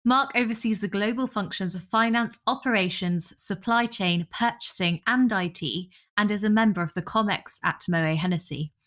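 A woman's voice: mid-range voice, normal speed, hardly any pauses, a bit bright. A woman co-hosting a podcast, moving between scripted introductions and conversational remarks. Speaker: podcast co-host.

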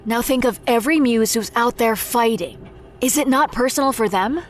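A woman's voice: fairly high pitch, normal speed, a little hiss or hum, natural voice. A woman playing a wounded, fiercely determined and blunt fighter in a fiction podcast. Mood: firm, challenging. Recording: music underneath.

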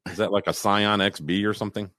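Man, very low voice, rambling casual chat, dry close mic. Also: steady tone, fast, bright, forceful tone, no echo. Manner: rambling, many restarts and fillers. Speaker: podcast co-host.

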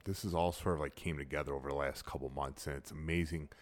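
A middle-aged man hosting a casual podcast from his dining room, telling stories animatedly with loud bursts. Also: very deep voice, steady tone, fast. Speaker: podcast host.

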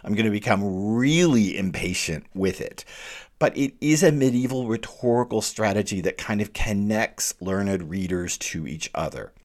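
American male lecturer, deep register with a swooping, expressive delivery, chatty and digressive. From United States. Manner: animated, digressive, with quick asides and restarts; reads quoted verse aloud. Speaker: literature lecturer. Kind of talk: informal lecture on a classic poem.

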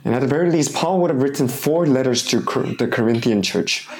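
Man, pastor, hoarse from a cold, mid-pitched, halting sermon delivery full of restarts. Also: animated, darkish tone. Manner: halting, with frequent restarts and fillers.

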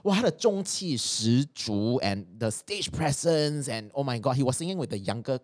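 Singaporean woman w really low mellow voice, chatty podcast host, flips into mandarin. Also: animated, moderate pace, no echo. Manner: code-switches between English and Mandarin. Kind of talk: casual bilingual chat.

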